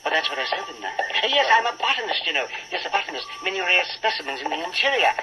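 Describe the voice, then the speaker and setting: A man's voice: sits high, big pitch swings, very fast, bright, forceful tone, exaggerated voice. A man playing a flustered, absent-minded professor in a 1940s radio drama, stammering and repeating himself. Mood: absent-minded, agreeable. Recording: hissy, noisy old 1940s radio recording.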